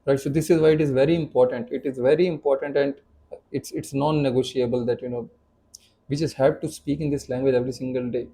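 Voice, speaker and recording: An earnest young man with an Indian accent talks straight to camera in a loose, unscripted lesson. His voice is mellow and sits mid-range, and he circles back on words often, stacking little fillers as he thinks. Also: mid-range voice, fairly even intonation, fast talker, a little hiss or hum. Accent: Indian English. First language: Hindi. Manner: earnest, many repeats and fillers. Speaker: English teacher. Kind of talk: casual to-camera talk.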